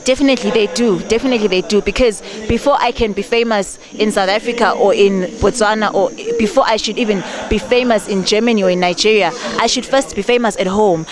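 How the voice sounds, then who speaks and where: A woman's voice: medium pitch, fast, hardly any pauses. Earnest Zimbabwean woman, crowd behind. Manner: earnest, animated, laughs often. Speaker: gospel artist interviewee. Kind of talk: on-the-street interview.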